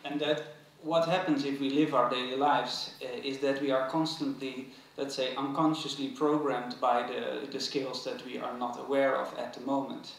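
A man's voice: medium pitch, level tone, moderate pace, gravelly, few pauses. Dutch-accented man in a very echoey hall. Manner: thoughtful, hesitant, many fillers and restarts. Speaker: curator responding to a lecture. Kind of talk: academic talk. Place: large museum auditorium. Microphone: stand mic at table. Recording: very echoey hall, mic from a distance.

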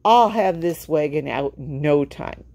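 A woman's voice: low-pitched, animated, measured pace, creaky, mellow, full character voice. Storybook billy goat, male, brash boaster who orders others about, played by a female reader. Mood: brash, scornful. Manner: brash, commanding.

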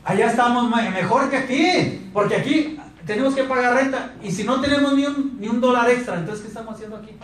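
A man in his thirties with a Mexican accent, giving a lively motivational sales talk on a handheld mic and asking the audience questions. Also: very high voice, fast talker, recorded from a distance, slight background noise. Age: 30s. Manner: animated, asks the audience questions. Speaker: sales speaker. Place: meeting room in front of a projector screen. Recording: echoey room recorded from afar.

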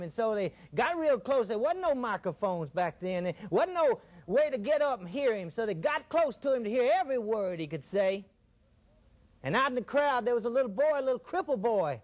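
A man with a very high voice delivering a fiery TV sermon. He preaches dramatically, repeating phrases and coining strange words. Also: sing-song, moderate pace. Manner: dramatic, repetitive, coins odd words.

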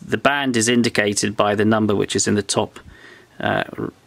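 A man talking through a piece of old electronics at his workbench, explaining each part step by step as he thinks aloud in a relaxed way. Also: lowish voice, moderate pace, vocal fry. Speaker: electronics restorer. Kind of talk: hands-on show-and-tell. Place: workbench indoors.

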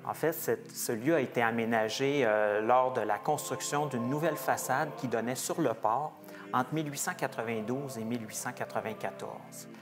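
A man in his forties, a museum research director, explaining the history of a chapel's stained glass in a measured, hesitant way with frequent fillers. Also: medium pitch, music in the background. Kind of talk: explanatory heritage talk. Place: small wood-paneled chapel, indoors.

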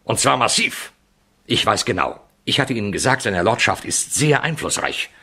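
An actor playing a stern, sardonic Scotland Yard chief inspector in a 1970s radio crime play, dry and authoritative. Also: male voice, lowish voice, expressive, moderate pace, sharp tone, breathy edge, full character voice. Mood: stern, exasperated.

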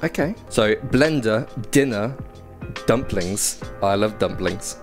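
A man's voice: fairly low pitch, animated, takes it slowly. Chatty young man playing a VR game. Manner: chatty, self-deprecating, reads prompts aloud. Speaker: gaming YouTuber. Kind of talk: first-impressions gameplay commentary. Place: small indoor room playing VR. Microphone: headset mic. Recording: game music behind.

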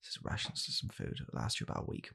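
A young British man in a hushed, halting, deadpan comedic ASMR roleplay, playing a bitter, weary father coldly blaming his child. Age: young adult. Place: small home room, indoors.

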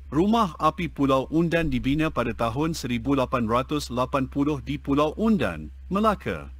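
A man reading an educational text aloud in a measured, even way. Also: medium pitch, no echo.

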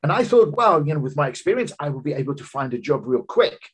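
Guy in his 50s doing a business seminar over webcam, kinda husky, voice goes up and down a lot, earnest storytelling. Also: big pitch swings, fast talker. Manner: earnest, personal storytelling pitch with emphatic rises. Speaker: business coach giving a seminar talk. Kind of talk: seminar presentation over slides. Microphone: video call webcam.

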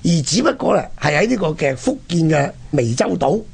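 A Hong Kong man presents history as lively storytelling. His voice is fairly high and very rough, with gravelly creak, and he talks in a colloquial way, setting up questions for himself. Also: fairly quick. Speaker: radio history programme host.

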